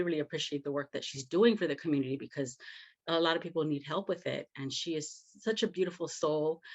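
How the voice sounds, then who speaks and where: Effusive woman podcast host, lo-fi audio. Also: sits low. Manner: warm, effusive, many "you know" fillers. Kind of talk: casual podcast interview.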